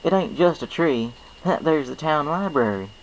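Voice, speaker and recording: Man putting on a folksy, higher-pitched country mare. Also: expressive, moderate pace, slight background noise, close to an everyday voice, low-quality recording. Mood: cheerful, playful. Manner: folksy drawl with dropped g's. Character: friendly, honest young female country pony, confident and reassuring.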